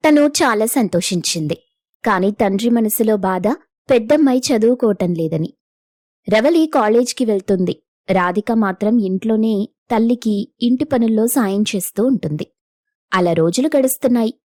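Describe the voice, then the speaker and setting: A woman's voice: fairly high pitch, animated, moderate pace, darkish tone, no room sound, studio quality. A woman storyteller reading a scripted moral story as narrator.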